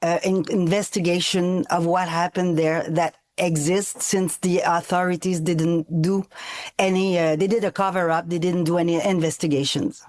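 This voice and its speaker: Lower-pitched woman. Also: fairly even intonation, normal speed, a little mellow. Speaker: activist and author, interview guest. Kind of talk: spoken personal testimony.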